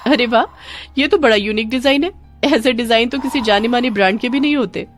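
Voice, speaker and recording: A woman's voice: fairly high pitch, a little fast, pitched above the performer's own voice, close to an everyday voice. A woman voicing fussy young female market shoppers in an audio storybook, chatty and demanding, with music behind. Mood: impressed. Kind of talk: acted dialogue in audio storybook.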